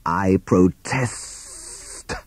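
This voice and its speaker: A man voicing a sinister snake villain in a children's story, with drawn-out hissing speech. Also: low voice, no echo, whispered, exaggerated voice. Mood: indignant.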